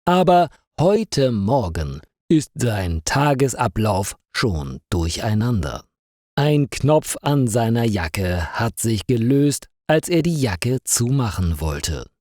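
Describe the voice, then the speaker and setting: A man narrating a scripted story for language learners in a careful, deliberate way. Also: mid-range voice, sing-song, a little mellow, dry recording, clean studio sound. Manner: careful, clearly paced for learners.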